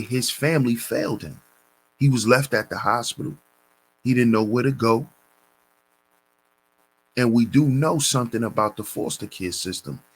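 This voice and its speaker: A man hosting a commentary channel, reading a news story and lecturing on it in a deliberate, preachy way, calling his listeners brothers. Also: mid-range voice, fairly bright tone, poor audio.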